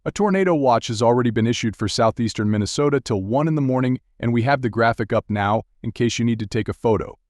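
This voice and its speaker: A man playing a TV meteorologist who guides viewers through severe storms with steady, urgent, direct instructions in a live broadcast style. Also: mid-range voice, fast, hardly any pauses, dry recording, studio quality, natural voice. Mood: serious, measured. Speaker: TV weather broadcaster.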